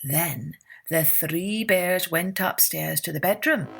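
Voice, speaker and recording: A woman's voice: lowish voice, takes it slowly, vocal fry, breathy edge. A woman narrating a children's fairytale as a podcast storyteller, expressively and with dramatic emphasis.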